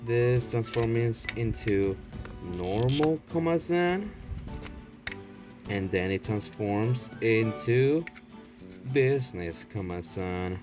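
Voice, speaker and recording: A man's voice: mid-range voice, expressive, slow, over music. A man giving an unscripted, casual show-and-tell as a video blogger, rambling and prone to swearing. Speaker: video blogger showing a toy collection.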